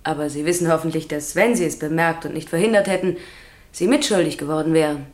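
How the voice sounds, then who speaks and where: An actress playing a stern, precise public prosecutor in a radio play, formal, pointed and lecturing. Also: female voice, sits low, fast talker, lightly acted. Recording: roomy courtroom echo.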